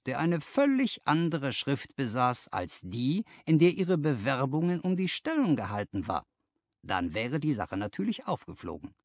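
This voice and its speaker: A man voicing a confident, analytical gentleman detective who lectures emphatically in an audiobook, posing rhetorical questions. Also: medium pitch, no echo, clean studio sound. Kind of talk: audiobook character dialogue.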